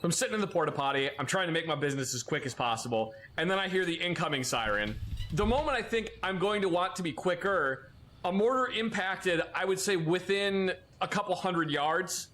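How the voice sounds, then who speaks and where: A man casually telling military anecdotes, animated, with laughing asides. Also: high voice, brisk, slight background noise. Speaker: podcast guest, military veteran. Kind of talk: casual storytelling.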